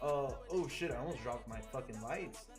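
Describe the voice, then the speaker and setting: Man, from Chile, livestream trader, chatty, excitable, swears a lot, average pitch, faint background music. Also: normal speed. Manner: excitable, profane, chatty with viewers. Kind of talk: casual livestream commentary.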